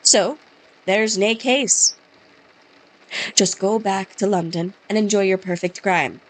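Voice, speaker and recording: A skeptical Scottish lawman who brushes off every claim with curt scorn, as a woman reads him aloud from a game. The voice sits at a middle pitch for a woman, and the accent is rough and put on. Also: slow pace, rough recording. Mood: scornful. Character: skeptical dismissive male Scottish police officer. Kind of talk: reading game dialogue aloud.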